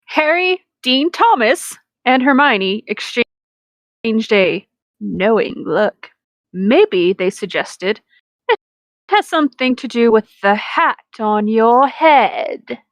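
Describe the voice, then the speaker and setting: Slow-paced woman reader with higher voice. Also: sing-song, dry recording. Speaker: co-host of a comedic fan-fiction reading. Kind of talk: story reading with casual banter.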